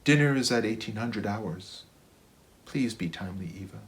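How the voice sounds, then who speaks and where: A man voicing a motherly female robot caretaker who nags gently in formal, precise phrases for a children's storybook. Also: lowish voice, animated, normal speed, close to an everyday voice. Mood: matter-of-fact.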